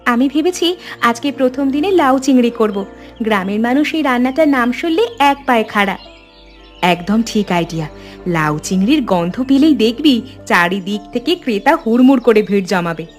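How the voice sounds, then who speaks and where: A woman's voice: on the high side, lively intonation, fairly quick, faint music behind, natural voice. A woman voicing a friendly, enterprising village housewife, coaxing and familiar, in cartoon dialogue. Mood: enthusiastic. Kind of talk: animated story dialogue.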